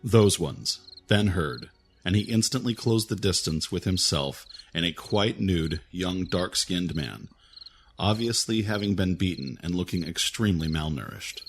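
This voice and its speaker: A man narrating a fantasy audio drama with a steady, even storytelling manner. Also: low-pitched, unhurried, slight background noise.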